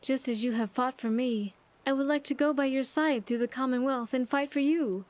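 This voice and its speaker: A woman's voice: high voice, normal speed, a little mellow, dry recording, close to an everyday voice. A woman playing an earnest, grateful young survivor companion in a video game, speaking in careful, formal phrases. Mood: earnest, hopeful. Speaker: companion character in a game mod. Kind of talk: scripted game dialogue.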